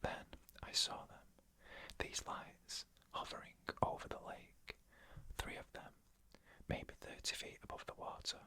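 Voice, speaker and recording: Whispered ASMR narrator, very bright and crisp-edged, soft, hushed, deliberate, scary storytelling.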